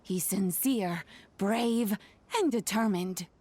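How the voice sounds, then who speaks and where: A woman playing a doting old granny in a video game, unhurried, fond and reassuring in manner. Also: medium pitch, a little mellow, husky. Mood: fond, proud. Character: doting old granny who raised a young man. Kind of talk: video game character dialogue.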